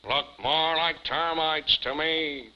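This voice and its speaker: A man voicing a droll, sardonic robot puppet with a deadpan drawl in a 1950s television show. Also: fairly high pitch, takes it slowly, exaggerated voice. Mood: dry, sardonic. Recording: muffled, hissy 1950s television soundtrack.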